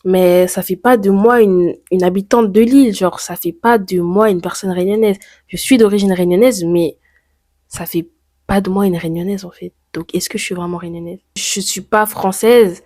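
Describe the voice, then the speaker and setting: A teenage girl from France talks straight to her camera in a personal vlog. She thinks aloud, with many fillers and rhetorical questions, and her voice sits at an ordinary pitch. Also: mellow. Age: teens. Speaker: YouTuber.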